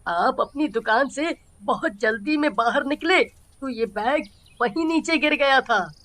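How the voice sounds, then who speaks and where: A woman's voice: high voice, a little slow, a bit bright. A woman voicing a humble, honest, anxious poor father in a story, speaking earnestly. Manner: earnest, worried.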